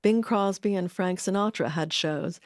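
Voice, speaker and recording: A woman's voice: fairly low pitch, normal speed, no room sound, studio quality. A woman reading scripted documentary narration with wry personal asides.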